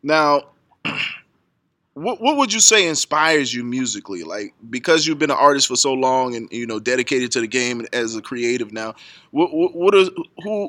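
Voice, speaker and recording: A man's voice: medium pitch, pushed and bright, no room sound. A man hosting an interview show, upbeat and hyped, often repeating his questions. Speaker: radio show host.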